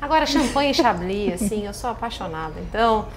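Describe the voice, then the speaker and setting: A woman's voice: mid-range voice, animated, brisk, slight background noise. A woman with a Brazilian accent hosting a casual podcast, chatting informally in a playful, teasing way. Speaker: podcast host.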